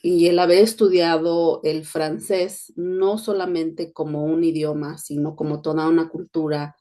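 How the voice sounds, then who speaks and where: Low, mellow-voiced Mexican woman. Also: steady tone, a little slow. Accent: neutral Spanish. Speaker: podcast host, education consultant. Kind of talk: personal monologue podcast.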